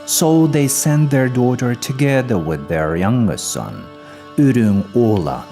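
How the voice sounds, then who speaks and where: A man reading scripted narration of a folk epic in a formal, measured storytelling manner. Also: medium pitch, animated, dark tone. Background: music.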